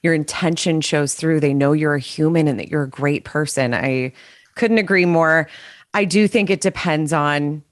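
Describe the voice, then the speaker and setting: Low-pitched woman, chatty and playful on a sales panel. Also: normal speed, no echo, clean studio sound. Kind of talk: casual panel discussion.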